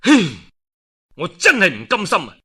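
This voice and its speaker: Man acting a resentful Cantonese warlord, bright voice. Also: high voice, huge pitch swings, moderate pace, husky, voice pushed up, heavily acted. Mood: bitter, aggrieved. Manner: aggrieved, sighing complaint. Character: resentful eldest son, an ambitious warlord.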